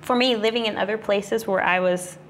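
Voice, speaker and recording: A woman's voice: mid-range voice, normal speed, fairly bright tone. Chatty young woman graduate student. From Arizona. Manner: chatty, lots of "you know" and restarts. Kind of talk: casual group interview.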